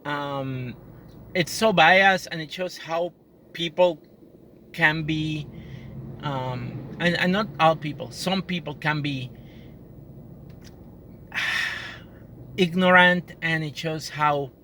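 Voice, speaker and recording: A woman's voice: sits low, slow, long pauses. A woman from Puerto Rico with a Puerto Rican accent, talking earnestly and with frustration in a personal monologue, often restarting her sentences. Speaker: customer service worker. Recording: loud traffic noise outdoors.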